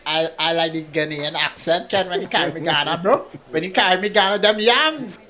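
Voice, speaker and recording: A man's voice: fairly high pitch, a little fast, bright, forceful tone. A young man co-hosting a podcast, telling stories with lots of laughter and quoted voices. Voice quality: laughs often, storytelling, quotes people in put-on lines. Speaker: podcast co-host. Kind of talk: casual chat.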